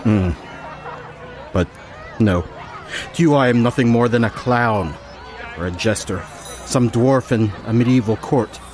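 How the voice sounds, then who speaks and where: An actor playing a vain, snobbish carnival reveler and wine connoisseur in a radio play, pompous and dismissive. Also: male voice, mid-range voice, unhurried, long pauses, a little mellow, some chatter behind, lightly acted. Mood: haughty, disdainful.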